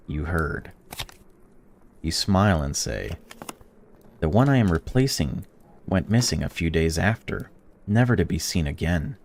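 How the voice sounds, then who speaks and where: A young man in a let's-play, reading a visual novel aloud and reacting to it, swearing freely and swinging between excited outbursts and flat reading. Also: deep voice. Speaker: gaming YouTuber.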